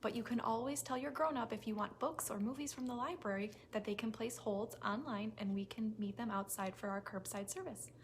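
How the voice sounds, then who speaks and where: A woman hosting a toddler story time, reading aloud with expressive, simple phrasing for small children. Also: fairly high pitch, a little fast, hardly any pauses. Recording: noisy recording with clicks.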